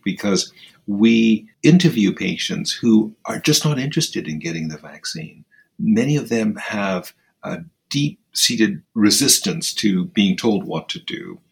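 A man's voice: sits low, creaky, poor audio. A man giving thoughtful, formally phrased answers as a surgeon guest in an interview. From Kenya. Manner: measured, formal, articulate phrasing.

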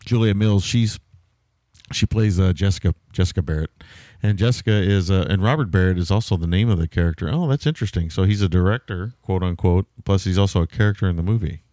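A man's voice: deep voice, quick pace, warm tone, dry recording, clean studio sound. A man co-hosting a podcast, reviewing a film in casual, rambling, amused talk. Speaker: podcast co-host. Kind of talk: casual movie review chat.

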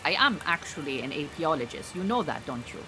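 An actress playing a proud, disillusioned Iranian refugee beekeeper in an audio drama, speaking in formal, dry, exacting phrases. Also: female voice, low-pitched, sing-song, fairly quick, background noise. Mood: dry, certain. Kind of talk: naturalistic audio drama acting.

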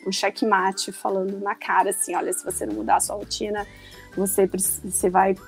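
A woman's voice: mid-range voice, little pitch movement, fairly quick, creaky, faint music behind. Chatty Carioca woman in her forties. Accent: Carioca Brazilian Portuguese. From Rio de Janeiro, Brazil. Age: 40s. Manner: chatty, laughing easily, many restarts. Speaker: podcast guest. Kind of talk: casual podcast conversation.

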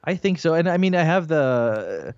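A man in his forties, born in Bosnia with Croatian as his first language, telling his story as a guest in a relaxed, casual interview. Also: fairly high pitch, moderate pace, no room sound. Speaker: guest, entrepreneur. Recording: dull lo-fi dry sound.

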